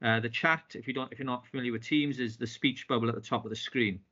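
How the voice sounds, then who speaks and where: A man hosting an online business meeting, polite and filling pauses with "um" and "uh" as he restarts phrases. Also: mid-range voice, fairly even intonation, sharp tone, a little hiss or hum. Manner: polite, with frequent fillers and restarts. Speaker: business club host. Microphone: video call. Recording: dull lo-fi video call audio.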